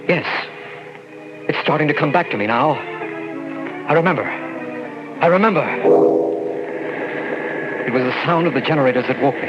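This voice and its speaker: An actor playing a male lab assistant who narrates his story in suspenseful, hushed tones in a 1940s radio drama. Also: mid-range voice, lightly acted. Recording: dull old radio recording under loud music.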